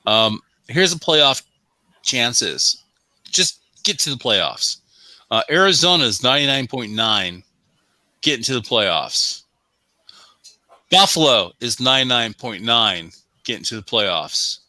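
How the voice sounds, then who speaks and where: A man's voice: mid-range voice, expressive, takes it slowly, long pauses, sharp tone, no echo. A man on a sports show panel chatting casually about football, often repeating himself for emphasis.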